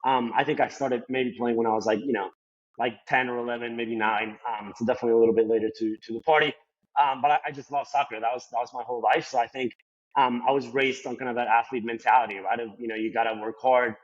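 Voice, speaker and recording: A man's voice: mid-range voice, fairly even intonation, quick pace. A young man from Colombia, a native Spanish speaker with a Colombian accent, answering as a podcast guest in a loose, rambling way full of "like" and "you know.". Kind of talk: casual interview talk. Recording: slightly dull lo-fi sound.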